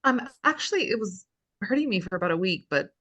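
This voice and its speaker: Chipper, animated, sardonic female reality host, acted by a woman. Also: medium pitch, quick pace. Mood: flustered. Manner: presenter-like, with sarcastic asides. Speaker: actress in a script table read. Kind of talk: scripted reality show hosting at a table read.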